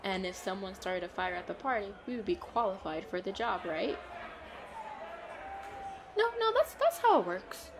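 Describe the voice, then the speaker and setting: A woman playing a playful, excitable girlfriend in a chatty roleplay audio, with a bubbly, expressive delivery. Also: mid-range voice, moderate pace, gravelly, pauses often, background noise. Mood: excited, teasing. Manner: bubbly, expressive, frequent giggles and exclamations. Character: playful, excitable, pleading girlfriend out costume shopping.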